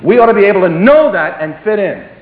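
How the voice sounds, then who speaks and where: A man preaching a sermon, swinging between emphatic declarations and hushed, dropped phrases. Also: sits high, lively intonation, quick pace. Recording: echoey hall, tinny phone-like sound.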